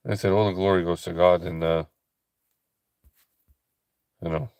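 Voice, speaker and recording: A tired man hosting a late-night ministry livestream, talking slowly and softly with a calm, relaxing voice, with many pauses and restarts. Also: low-pitched, no echo. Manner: slow, halting, soft-spoken, many fillers and restarts. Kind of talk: casual late-night livestream chat with viewers.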